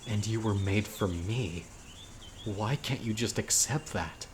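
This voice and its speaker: A man playing an obsessive, dying young witch in an audio roleplay, speaking to his beloved in a deliberate, intimate, pleading way. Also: lowish voice, slight background noise. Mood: agitated, desperate. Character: obsessive, dying young male witch, devoted yandere lover. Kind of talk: audio roleplay, dramatic monologue to listener. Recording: outdoor nature ambience, crickets and birds. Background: insects, birdsong.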